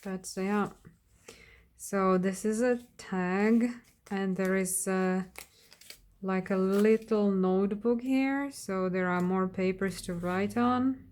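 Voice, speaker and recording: A woman whose first language is Slovak, giving an unscripted flip-through of a handmade craft journal in a hesitant manner, often pausing and searching for words. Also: mid-range voice, darkish tone. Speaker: craft vlogger.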